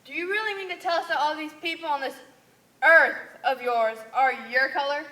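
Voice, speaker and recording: A woman acting a shrill-high, scornful alien. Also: brisk, pushed and bright. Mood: indignant. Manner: haughty, exclamatory. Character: scornful, indignant, orthodox female alien. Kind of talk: amateur one-act stage play. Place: theater stage. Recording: echoey theater stage.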